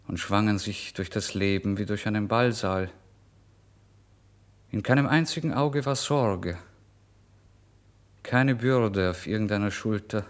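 An actor playing a tormented, starving man, bitter and brooding, in a deliberate, theatrical radio-play monologue. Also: on the low side. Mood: brooding, weary. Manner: brooding, deliberate, theatrical, swinging between murmur and outburst. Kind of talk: dramatic first-person literary monologue for radio play.

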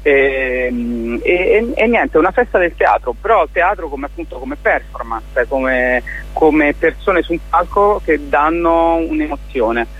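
A man speaking casually as an interview guest and festival organiser, in an informal, halting way, often searching for words. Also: fairly high pitch, expressive, phone-quality sound.

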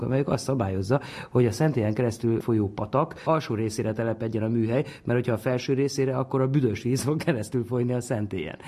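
A man's voice: medium pitch, vocal fry, dark tone, slightly rough. A man giving an informal, explanatory talk as an expert on the ancient world, stressing key words conversationally. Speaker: expert on classical antiquity.

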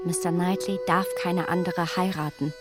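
A woman playing a self-assured young gentlewoman in a radio drama, formal and emphatic, shaken but keeping control. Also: lowish voice, fairly even intonation, normal speed, over music, close to an everyday voice. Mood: anguished. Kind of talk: German radio drama acting.

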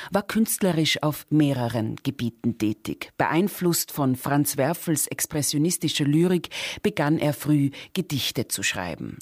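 A man presenting on radio, reading a scripted introduction in a measured, factual, literary manner. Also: mid-range voice, a little mellow, dry recording, studio quality.

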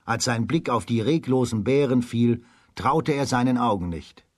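A man giving measured, scripted storytelling narration for a children's radio drama. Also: medium pitch, dry recording.

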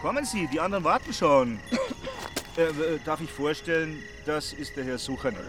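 An actor in a radio drama playing a jovial, eager holiday farm entertainer, chummy and announcing to guests. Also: male voice, a little high, animated, moderate pace, over music, lightly acted.

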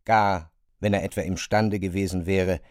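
A man narrating a literary radio play in a measured, even manner. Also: low-pitched, no echo, clean studio sound.

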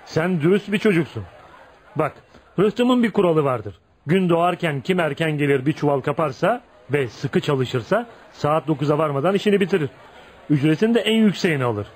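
A man's voice: fairly high pitch, expressive, normal speed, husky. A man acting in a Turkish radio play as a tough, streetwise young dockworker who hides his kindness behind blunt, swaggering talk. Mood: cocky, friendly. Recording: noisy radio drama recording.

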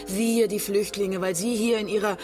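Woman acting pushy preachy activist type on the run, radio thriller, mid-pitched voice with music under it. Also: steady tone, moderate pace, close to an everyday voice. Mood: defiant. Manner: blunt, argumentative, lecturing. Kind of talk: German radio crime drama dialogue. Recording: music behind the voice.

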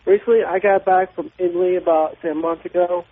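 A man's voice: high voice, fairly even intonation, fast. Rambling male caller on a phone line. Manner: rambling, hesitant.

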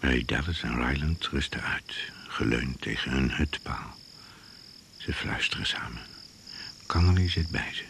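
An actor narrating a suspense radio play in terse, measured present-tense phrases. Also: male voice, a bass voice, vocal fry, a bit bright, a little hiss or hum, full character voice. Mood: hushed suspense. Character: brooding male narrator of a jungle thriller.

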